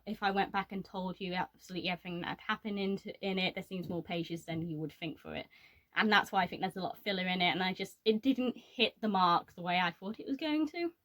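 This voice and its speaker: A young woman giving her opinions on books in a casual, explanatory chat to camera. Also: medium pitch. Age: young adult. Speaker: book reviewer.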